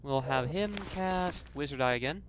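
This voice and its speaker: A man's voice: mid-range voice, normal speed, very noisy. A man casually commenting on his role-playing game play, thinking aloud and poking fun at himself. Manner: chatty, self-deprecating, thinking aloud. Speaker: let's play gamer. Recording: loud game music behind. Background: loud game music.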